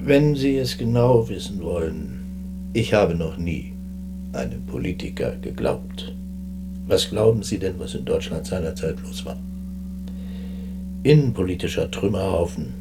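An actor playing a weary, proud retired German army chief with dry, dismissive, clipped remarks in a radio drama. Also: male voice, low-pitched.

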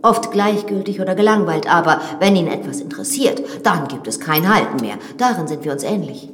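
Young widowed lady of a manor, frank and self-assured, lowish voice, reverberant radio drama with music female actor. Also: lively intonation, brisk, natural voice. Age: young adult.

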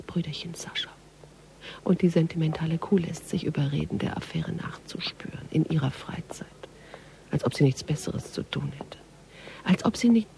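Low, creaky, sardonic detective. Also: female voice, darkish tone, poor audio. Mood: bitter, sardonic. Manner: mocking, dry, half-muttered monologue. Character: sardonic, world-weary female police detective. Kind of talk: intimate radio crime drama acting.

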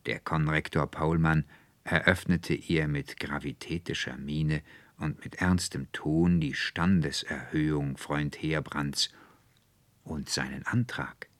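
A low-voiced man reads a literary tale as an audiobook narrator. His delivery is expressive and scripted. The recording is close and dry. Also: slow, dry recording.

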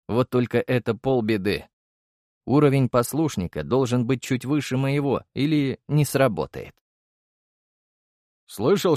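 Lively male audiobook narrator, very dry sound. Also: mid-range voice, no echo. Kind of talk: scripted first-person fiction narration.

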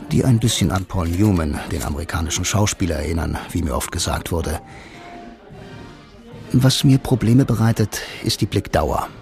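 An actor playing a lonely, wistful noir romantic who narrates his own story in a measured, deadpan way for a radio play. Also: deep voice, gravelly. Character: blind lonely bank clerk, film-noir romantic, dry and wistful. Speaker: first-person narrator and lead.

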